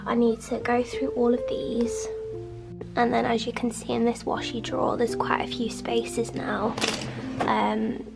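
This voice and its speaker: A young woman with a cold talks casually in a soft, half-whispered, rambling way from her small home art studio. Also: on the high side, vocal fry. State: sniffly with a cold. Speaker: artist vlogger. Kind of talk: casual studio vlog chat.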